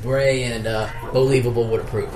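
A man on a casual fan podcast, tossing in quick quips and interjections. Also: medium pitch, fairly even intonation, echoey room, a loud crowd. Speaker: podcast panelist. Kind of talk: casual chat among fans.

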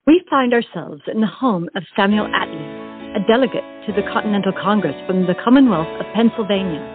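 A woman's voice: medium pitch, fairly quick. A woman narrating a comic stage play in a theatrical, scripted storytelling style. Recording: tinny phone-like sound, music behind. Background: music.

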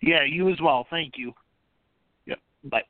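Male podcast host, casual interviewer with a slightly high, smooth voice on a thin phone-quality recording. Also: a little slow, no room sound. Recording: dry, narrow phone-like sound.

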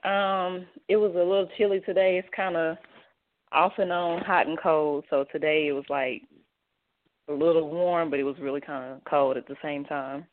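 A woman in her twenties from Texas, answering interview questions over the phone and pausing often on ums. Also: low-pitched, steady tone. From Dallas, Texas. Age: 20s. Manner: hesitant, many ums, soft-spoken. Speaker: guest model. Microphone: phone line. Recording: tinny phone line.

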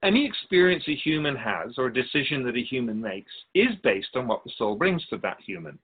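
A man playing a wise, patient otherworldly spirit in a radio drama, explaining things in a measured, gently pedantic way with a husky voice. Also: medium pitch, very animated, narrow, tinny audio. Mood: explanatory, measured. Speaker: spirit being interviewed. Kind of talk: scripted radio drama dialogue.

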